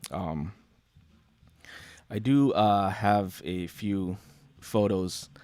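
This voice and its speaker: A man's voice: sits low, drawn-out words, darkish tone, dry recording. A man who grew up in Japan, talking casually on a video call from his studio as a panel guest, with many fillers. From born in the US, grew up in Japan. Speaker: taiko player, panel guest. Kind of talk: casual online panel talk.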